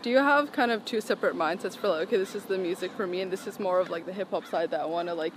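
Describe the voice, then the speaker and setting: A woman's voice: lowish voice, racing. A young woman asks questions in a casual, conversational interview style. She is walking outside on a city street, with noticeable traffic and street noise around her. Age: young adult. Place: outdoors, walking along a city sidewalk.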